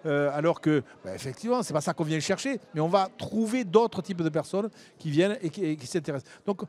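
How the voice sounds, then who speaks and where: A man speaking as a local elected official on a panel, haltingly, repeating words as he searches for the next. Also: fairly high pitch, lively intonation, a little mellow. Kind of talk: panel discussion, unscripted.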